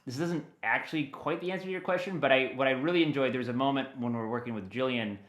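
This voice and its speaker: A man speaking as an audio dramatist guest in a conversational, enthusiastic interview, telling things in an animated way. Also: medium pitch, rapid-fire.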